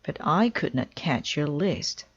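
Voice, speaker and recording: A kind, agreeable frog from a children's storybook, read by a woman. He talks very slowly, politely and helpfully, in a slightly muffled recording. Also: low-pitched, expressive, husky, full character voice. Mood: apologetic. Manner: encouraging, polite.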